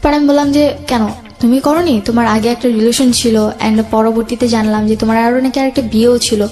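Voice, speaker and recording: Young female guest, Bangladeshi accent, rapid personal storytelling over faint background music. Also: on the high side, steady tone, dark tone. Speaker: guest telling own life story.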